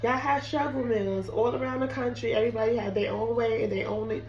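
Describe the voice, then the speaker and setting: A young woman talks casually to her viewers from her home kitchen, her voice hoarse and fading from illness. Her marks her easy, chatty delivery. She stands away from the mic, and the bare room adds a roomy echo. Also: on the high side, fairly even intonation, brisk, darkish tone, far from the mic, muffled recording, soft background music. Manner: chatty, apologetic, addresses viewers as "guys". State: sick, losing her voice, hoarse. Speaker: cooking vlogger. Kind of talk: casual chat to camera.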